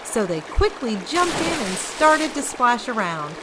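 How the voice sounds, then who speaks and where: A woman reading a story aloud for children as host, expressive and speaking directly to young listeners. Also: fairly high pitch, unhurried, noisy background.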